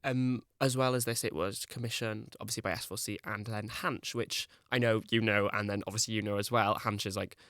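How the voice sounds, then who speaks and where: A man's voice: on the low side, fairly even intonation, brisk, few pauses, a bit bright, no room sound, studio quality. A young man, chatting as a podcast guest, rambling and full of "like". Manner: rambling, peppered with "like". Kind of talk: casual chat.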